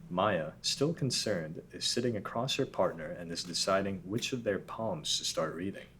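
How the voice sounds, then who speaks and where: Man, narrator, table read, deep voice, plain reading. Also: animated, moderate pace, gravelly, a bit bright, a little hiss or hum. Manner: reads stage directions plainly.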